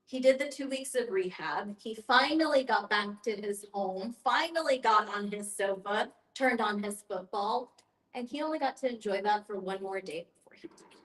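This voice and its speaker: A woman's voice: mid-range voice, normal speed. A woman leading a group discussion as facilitator, speaking in an earnest, explanatory way with emphatic repetition. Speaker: guest facilitator, end-of-life educator. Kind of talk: guided group discussion with personal anecdotes. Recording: echoey room.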